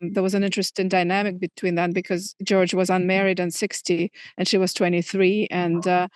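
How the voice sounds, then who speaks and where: A middle-aged woman from Moscow with a Russian accent, a native Russian speaker, answering interview questions thoughtfully with hesitant pauses. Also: lowish voice, flat delivery, clean tone, no echo. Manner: thoughtful, many fillers and restarts. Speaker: filmmaker guest.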